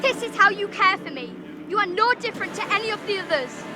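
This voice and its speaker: A woman voicing a defiant, desperate young girl accused of witchcraft in a video game, crying out in shrill outrage. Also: expressive, fast, a crowd in the background, background noise. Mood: desperate, angry. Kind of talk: dramatic video game acting.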